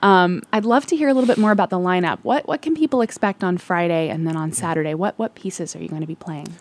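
A woman hosting a morning radio interview, friendly and halting, often repeating words as she asks questions. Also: lowish voice. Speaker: radio host. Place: radio studio. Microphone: studio microphone.

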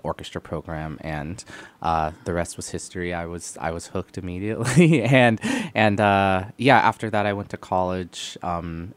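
Very low-voiced man, smooth and clear. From United States (Arizona). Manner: relaxed, laughs often, many fillers. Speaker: violinist, guest. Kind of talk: radio interview guest. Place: radio studio.

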